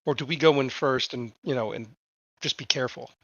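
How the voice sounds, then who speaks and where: A man's voice: mid-range voice, a little fast, gravelly, fairly bright tone, dry recording. Man, tabletop player, casual, stammering, dry, lo-fi. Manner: stammering, repeats words. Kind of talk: casual game talk.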